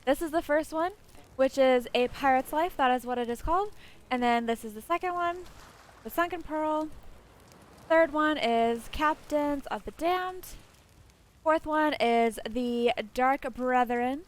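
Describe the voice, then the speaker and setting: A woman's voice: sits high, unhurried. A woman hosting a casual game walkthrough, chatting informally and addressing viewers directly. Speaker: gameplay video host. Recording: poor home mic, faint noise.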